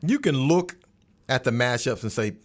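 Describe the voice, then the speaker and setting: A man's voice: mid-range voice, lively intonation, moderate pace. A man in a casual sports roundtable, conversational and repeating phrases for emphasis. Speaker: sports radio commentator.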